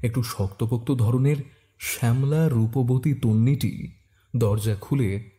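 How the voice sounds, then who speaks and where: A man's voice: medium pitch, slow, dark tone. A man giving scripted first-person narration of a detective story as its narrator.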